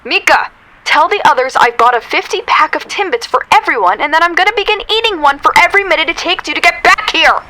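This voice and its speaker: A woman playing a bossy, impatient young friend in an audio drama, loud and overdramatic in her demands. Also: very high-pitched, animated, quick pace, heavily acted. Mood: indignant, demanding.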